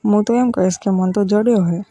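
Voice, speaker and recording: A woman's voice: mid-range voice, fairly quick, mellow, breathy edge, no echo, natural voice. A woman with a Gujarati accent playing a demanding village in-law who wheedles insistently in a casual phone conversation. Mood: wheedling.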